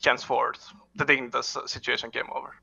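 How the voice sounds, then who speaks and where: Gravelly, bright-voiced man, halting speech. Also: mid-range voice, level tone, dull audio. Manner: halting, frequent "uh" fillers and restarts, non-native phrasing. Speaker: head coach, interview guest. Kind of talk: casual interview answers.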